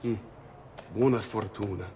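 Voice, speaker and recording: An actor playing a sly, self-assured master thief with an Italian accent, teasing and theatrical in a game cutscene. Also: male voice, lowish voice, fairly even intonation, moderate pace, warm tone, close to an everyday voice. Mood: conspiratorial. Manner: teasing, theatrical, chuckling knowingly. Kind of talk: video game cutscene acting. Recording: faint background noise and ambience.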